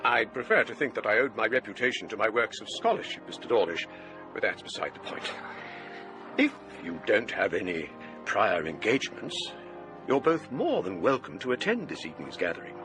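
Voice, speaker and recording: A man playing a genial, older English provost who tells ghost stories in a courteous, measured, donnish way in a radio drama. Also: on the low side, very animated, music in the background. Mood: courteous, dry. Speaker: actor. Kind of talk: radio drama acting and storytelling.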